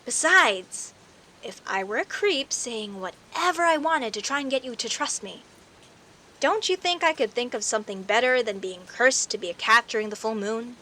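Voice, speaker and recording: A woman's voice: on the high side, lively intonation, sharp tone, rough recording. A woman playing a friendly, teasing werecat neighbor in an audio roleplay, talking conversationally and straight to the listener. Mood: indignant, defensive. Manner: teasing, indignant, conversational with sighs and pauses. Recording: faint hiss and rain noise. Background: rain ambience.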